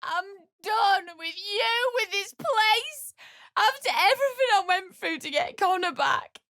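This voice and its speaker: Young grieving widow in a game, really high voice, slow and swoopy, desperate n bitter. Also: female voice, lively intonation, a bit bright, no echo, clean studio sound, heavily acted. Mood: bitter, angry. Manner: emotional, pleading, swinging between grief and anger. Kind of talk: dramatic video game voice acting.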